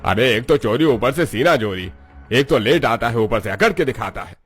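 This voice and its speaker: Blustering cartoon factory boss, played by a man, mid-pitched, scolding yet fair, music underneath. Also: lively intonation, normal speed, a bit bright, slightly rough. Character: greedy, scolding but fair rich male factory boss. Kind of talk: Hindi cartoon story acting.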